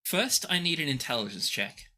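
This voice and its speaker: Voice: mid-range voice, very animated, moderate pace, pushed and bright. A game master improvising narration for a tabletop game in a playful, descriptive storytelling way.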